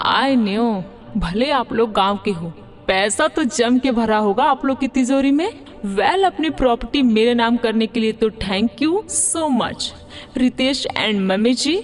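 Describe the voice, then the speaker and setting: A woman's voice: a little high, animated, slow. A woman voicing an arrogant, spoiled young daughter-in-law in a Hindi cartoon, mixing in haughty English phrases. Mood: smug. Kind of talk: Hindi moral-story cartoon dubbing.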